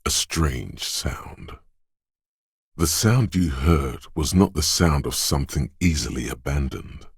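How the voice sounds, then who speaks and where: A deep, husky inner perception voice. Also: male voice, expressive, no echo, studio quality, heavily acted. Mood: hushed. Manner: slow, hushed, deliberate. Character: inner perception voice, male, watchful and intimate. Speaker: voice actor. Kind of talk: game voice-over lines.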